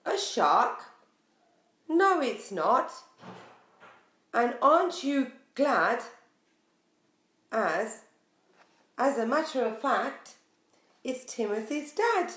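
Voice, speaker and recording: A woman in her forties tells a picture story to preschoolers with a fairly high voice and an unhurried pace. She is expressive and sing-song, addressing the children directly. The small room gives her voice a clear echo. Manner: expressive, sing-song, questioning the young listeners. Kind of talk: picture book read-aloud for young children. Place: small bare room indoors.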